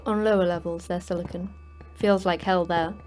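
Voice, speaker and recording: An actress playing the terse, dry manager of a secret prison for monsters in a sci-fi horror audio drama, speaking in a clipped, matter-of-fact way. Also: female voice, fairly low pitch, steady tone, normal speed, darkish tone, faint music behind, close to an everyday voice. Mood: dry, grim.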